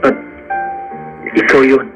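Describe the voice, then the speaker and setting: A man's voice: takes it slowly, a bit bright, faint music behind. A man acting in a Filipino radio drama as an earnest, poor young suitor, lovelorn and proud, speaking solemnly. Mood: tender, earnest. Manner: heartfelt, solemn declarations.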